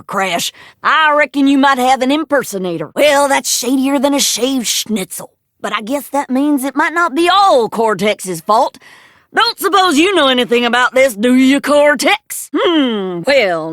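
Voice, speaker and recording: High voiced southern country gal for a cartoon game, folksy n drawly, plainspoken, super dry sound. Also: female voice, fairly bright tone, dry recording. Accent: American Southern. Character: folksy female adventure guide, rustic and plainspoken. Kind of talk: cartoon video game voice acting.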